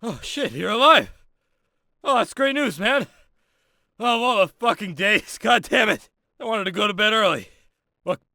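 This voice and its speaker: An actor playing a gruff, casual military training instructor in a video game, conversational, wry and salty-mouthed. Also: male voice, mid-range voice, expressive, brisk, fairly bright tone, no echo, studio quality, natural voice. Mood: urgent, excited. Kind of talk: video game tutorial dialogue.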